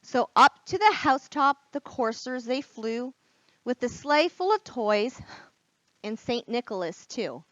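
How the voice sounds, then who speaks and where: Animated, bright-voiced woman reading to children. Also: a little high, unhurried, no echo. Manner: expressive, gasps and whispers for drama; encouraging, talks directly to young listeners. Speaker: children's librarian reading bedtime stories. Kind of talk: animated children's storytime read-aloud. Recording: dull, lo-fi sound.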